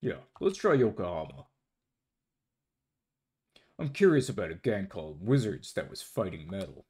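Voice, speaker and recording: A man's voice: low-pitched, big pitch swings, normal speed, a little mellow, slightly rough, voice pushed down, heavily acted. A man voicing a curious companion in a visual novel, trading city rumors. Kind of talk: visual novel character reading.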